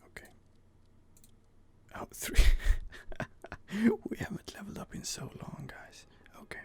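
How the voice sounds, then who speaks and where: A man whispering soft, hesitant commentary while playing a fantasy game, close to the microphone. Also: slow. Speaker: gameplay streamer. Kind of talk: whispered ASMR gameplay commentary.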